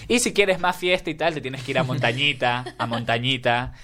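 A man's voice: mid-range voice, steady tone, normal speed, bright, forceful tone. Chatty Ecuadorian young man. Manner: enthusiastic, restarts often. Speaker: guest. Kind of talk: casual interview chat.

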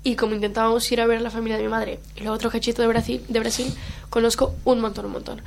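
A woman's voice: on the high side, flat delivery, quick pace, gravelly, a little mellow, a little hiss or hum. A woman from Colombia whose first language is Brazilian Portuguese, chatting casually as a guest and laughing often. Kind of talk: casual chat.

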